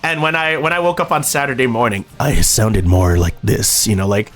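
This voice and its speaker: A young man streaming, talking casually to his chat, excitable and swearing freely. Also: mid-range voice, moderate pace.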